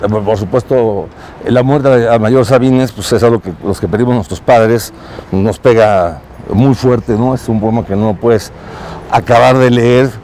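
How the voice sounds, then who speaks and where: A man being interviewed on a city street as a consul, talking slowly with many pauses and fillers. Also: mid-range voice, steady tone, warm tone, slight background noise. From Mexico. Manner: hesitant, many fillers and restarts.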